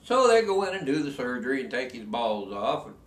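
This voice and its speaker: A man telling a drawn-out joke in a drawling, folksy way. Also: medium pitch, sing-song. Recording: roomy echo.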